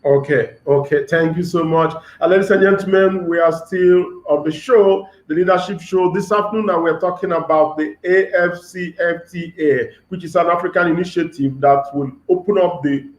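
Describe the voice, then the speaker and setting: A man hosting an interview show, speaking deliberately and repeating web addresses slowly. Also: a little high, muffled recording. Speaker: talk show host. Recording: echoey room.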